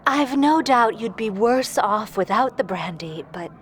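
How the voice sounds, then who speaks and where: An actress playing a caring, composed healer in a fantasy audio drama, speaking in a gentle, formal, measured way. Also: female voice, fairly high pitch, lively intonation. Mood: concerned.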